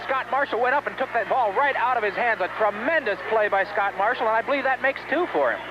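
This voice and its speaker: Male American color commentator for football radio, quick talker with a high voice, dull and noisy vintage broadcast sound. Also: expressive. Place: outdoors at a stadium.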